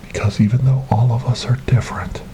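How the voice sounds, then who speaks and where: A man playing a gentle, devout rescuer in an ASMR storytelling roleplay, speaking softly and breathily in a soothing, reassuring way. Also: medium pitch, flat delivery, fairly quick, lightly acted. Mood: quiet, tender. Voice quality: soft, breathy, whispery. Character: gentle, devout male rescuer coaxing a lost ghost girl.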